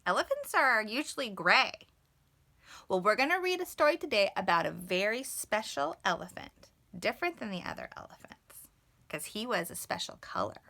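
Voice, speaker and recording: A woman reading a children's story aloud expressively, with dramatic pauses and emphasis. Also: mid-range voice, sing-song, gravelly, sharp tone.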